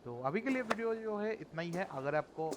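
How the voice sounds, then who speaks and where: A man's voice: sits high, expressive, rapid-fire, noisy background. A man hosting a gameplay video with casual, colloquial commentary, talking to viewers as friends.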